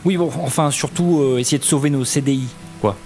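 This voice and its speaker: A man's voice: medium pitch, fairly quick, lightly acted. A man playing a down-to-earth, impatient organiser in a comedy audio drama. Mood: matter-of-fact.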